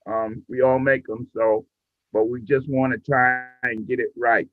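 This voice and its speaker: A man's voice: medium pitch, level tone, unhurried. A man instructing a class in a casual, patient, step-by-step way over a video call. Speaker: instructor leading a radio training class. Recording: muffled, roomy video call audio.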